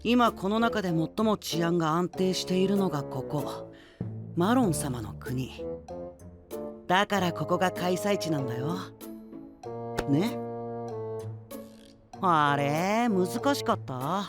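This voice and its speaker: A man's voice: sits high, expressive, takes it slowly, long pauses, music in the background. A man playing a cocky, playful pretty-boy knight in an anime audio drama, breezy and teasing with a condescending streak.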